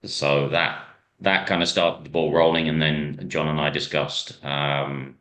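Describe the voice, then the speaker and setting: A man from Ireland, a racing driver being interviewed, telling stories in a rambling way with many pauses and restarts. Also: rumbling low, flat delivery, a bit bright. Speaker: hill climb driver, guest. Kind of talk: casual interview chat.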